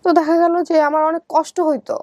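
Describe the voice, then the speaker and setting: High-pitched young Bangladeshi woman. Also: little pitch movement, brisk, mellow, dry recording. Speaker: guest telling her life story. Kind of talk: personal storytelling in conversation.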